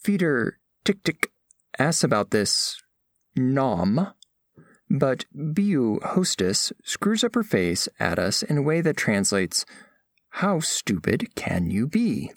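Man as a formal alien scholar, lively delivery. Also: mid-range voice, slow, dry recording, full character voice. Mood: detached curiosity. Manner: formal, precise, clinical wording. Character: formal, curious alien scholar on a diplomatic mission. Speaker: narrator of an audio story. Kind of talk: scripted first-person story narration.

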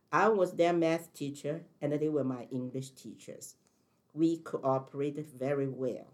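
Low-voiced older woman with a Chinese accent. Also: darkish tone. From China. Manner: careful, measured delivery. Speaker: math teacher and coach. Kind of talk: interview answers, read from prepared text.

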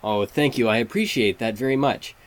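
A man's voice: medium pitch, brisk. A man hosting a fan site interview, polite and earnest, hesitating with fillers. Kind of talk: casual interview.

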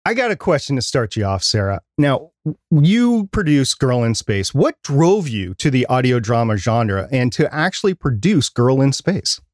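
A man's voice: medium pitch, big pitch swings, normal speed, no echo, clean studio sound. A man co-hosting a podcast, presenting prepared segments and interview questions. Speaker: podcast co-host.

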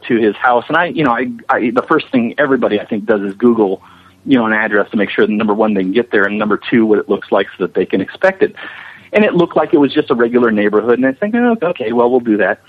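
A man's voice: mid-range voice, animated, fast talker, husky. A man being interviewed, telling anecdotes in a chatty, enthusiastic, casual way. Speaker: visual effects animator being interviewed. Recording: muffled band-limited radio-like line.

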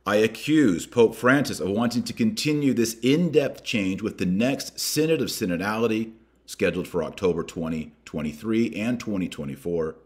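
An American man hosting a podcast, reading a document aloud and commenting on it with deliberate emphasis. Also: fairly low pitch, lively intonation, a little mellow. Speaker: podcast host.

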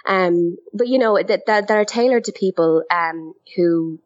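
A woman's voice: mid-range voice, a little slow. An Irish woman in casual conversation, chatty, talking in loose restarts and fillers. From Ireland. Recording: dull lo-fi audio.

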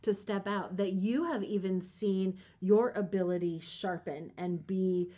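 A woman speaks as a podcast host in an easy conversational style. Her voice sits at a middle pitch, and she sounds earnest and encouraging as she talks with a guest about faith. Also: fairly even intonation, a little slow, dark tone.

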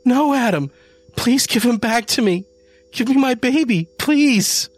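Grief-stricken mother, shrill and desperate; a man reads her in a slow storybook voice. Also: pushed and bright, husky, no echo, exaggerated voice. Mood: frantic. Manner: pleading, high and shrill. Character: grief-stricken woman, frantic, clinging to hope.

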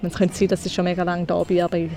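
A woman's voice: on the low side, little pitch movement, rapid-fire, dark tone, slight background noise. A woman in her twenties with a St. Gallen Swiss German accent, chatting casually as a guest and laughing often. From St. Gallen, Switzerland. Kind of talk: casual conversation.

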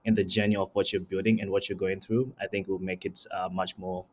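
A young man from Zimbabwe, a startup founder answering interview questions in a casual, halting way with many ums and uhs. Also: low-pitched, level tone, slight background noise. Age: young adult. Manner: hesitant, many ums and uhs, repeats words.

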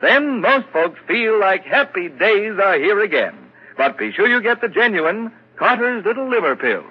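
A man announcing on a 1940s radio show, delivering a hearty, persuasive sales pitch. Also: big pitch swings, moderate pace, husky. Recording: muffled old radio broadcast.

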